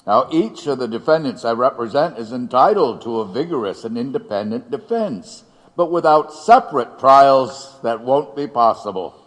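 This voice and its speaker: An actor playing an earnest, insistent defense attorney in a courtroom audio drama, formal and argumentative. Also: male voice, medium pitch, animated, normal speed, lightly acted. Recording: long hall reverb.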